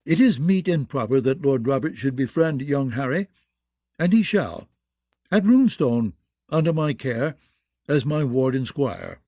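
A man voicing a proud, wrathful lord with a stern, formal, forceful manner in a fantasy audiobook. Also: medium pitch, big pitch swings, a little slow, dry recording. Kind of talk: fantasy audiobook character acting.

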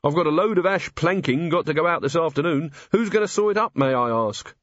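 A male narrator puts on a storybook tradesman, a timber merchant full of gripes. He is blunt, flippant and colloquial, pitched up high. The sound is slightly dull. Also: expressive, fairly quick, no echo, close to an everyday voice. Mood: grumbling. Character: grumbling, flippant working timber merchant, a man.

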